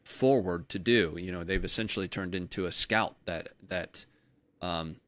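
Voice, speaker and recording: Low-voiced man, halting analytical host. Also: fairly bright tone. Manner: halting, many "you know" and restarts. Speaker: interview host. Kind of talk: casual interview talk.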